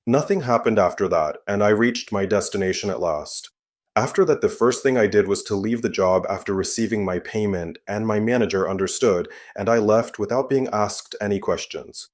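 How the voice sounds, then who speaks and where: A man with a fairly low voice tells a first-person scary story. His reading is scripted, steady and even throughout. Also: normal speed. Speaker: narrator.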